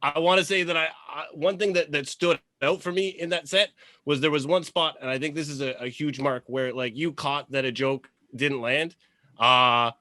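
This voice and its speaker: A man's voice: on the high side, fairly even intonation, normal speed, bright, forceful tone, no room sound. A man judging a comedy competition, giving playful, encouraging feedback over a video call. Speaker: competition judge.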